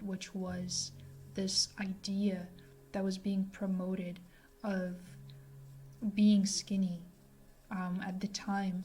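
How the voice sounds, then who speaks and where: A woman in her twenties giving a personal talk to camera in a halting, reflective way, often pausing mid-sentence. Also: mid-range voice, mellow. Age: 20s. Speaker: vlogger sharing a personal testimony.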